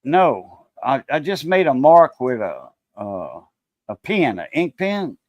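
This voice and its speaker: A man's voice: medium pitch, big pitch swings, slow pace, a little mellow, no echo, muffled recording. A man about to turn sixty chatting casually on a live stream, laid-back, laughing easily and using many fillers. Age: 50s. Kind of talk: casual chat.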